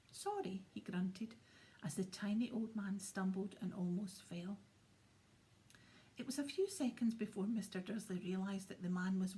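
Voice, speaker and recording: A woman narrating a storybook aloud in a soft, often whispered voice. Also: medium pitch. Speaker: audiobook narrator. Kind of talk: storybook reading.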